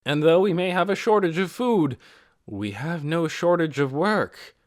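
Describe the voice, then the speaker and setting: Male narrator doing a female civic leader: firm, measured, businesslike, lifted and lively in pitch. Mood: resolute. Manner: measured, solemn public speaking. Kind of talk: audiobook character voice.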